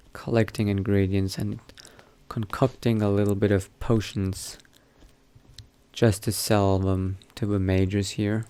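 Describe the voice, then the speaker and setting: A man playing a newly turned vampire mage in a game roleplay, musing to himself in a halting, politely understated way. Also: on the low side, level tone, warm tone, smooth. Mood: thoughtful. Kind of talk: in-character video game roleplay narration.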